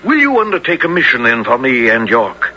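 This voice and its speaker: An actor playing a bold, hearty English captain in a 1970s radio drama, declaiming in archaic formal speech. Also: male voice, mid-range voice, wildly swooping pitch, brisk, pushed and bright, exaggerated voice. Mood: courteous, resolute. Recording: dull, faintly noisy old radio recording.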